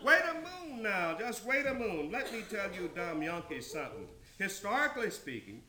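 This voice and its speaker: A man performs a blustering, indignant good old boy from a storybook tale. His voice rides high and forceful, swinging widely as he exclaims in folksy, rambling bursts. The room rings with echo. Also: lively intonation, measured pace, crowd noise. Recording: roomy echo, some background noise.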